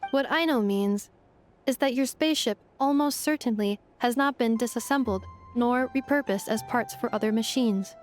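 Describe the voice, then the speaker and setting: A woman voicing a composed, dutiful female robot caretaker in a video game, speaking in a formal, measured, deadpan way. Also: fairly high pitch, faint music behind, natural voice. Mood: explanatory, calm.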